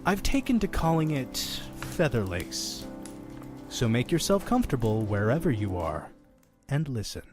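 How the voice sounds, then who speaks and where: Fussy sardonic innkeeper narrating a horror audio drama, mid pitch, very theatrical, soft music behind. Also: male voice, sing-song. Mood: confiding, inviting. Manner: dramatic, sardonic, deliberate phrasing. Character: fussy, exasperated male innkeeper telling dark horror tales. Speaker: storytelling host of a horror anthology.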